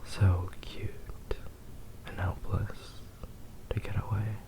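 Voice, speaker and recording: A man acts out a possessive fox-boy lover in an intimate ASMR roleplay. He whispers very low with a crackly, gravelly edge, taking his time. The delivery is tender and soothing, obsessively devoted to the listener. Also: little pitch movement, drawn-out words, exaggerated voice. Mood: possessive, soft.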